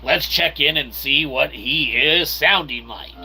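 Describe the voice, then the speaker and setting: Gravelly man doing playful game commentary. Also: a little high, a little slow, over loud music. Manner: wordy, mock-formal phrasing with playful sound effects. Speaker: host of a gameplay video channel. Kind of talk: casual game commentary with running jokes.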